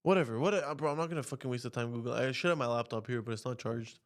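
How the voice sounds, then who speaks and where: A young man from Canada with a nasal, congested voice, talking casually and profanely on his podcast, his pitch jumping up when he rants. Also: racing. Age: young adult. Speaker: podcast host. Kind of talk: casual rambling monologue. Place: home, on camera.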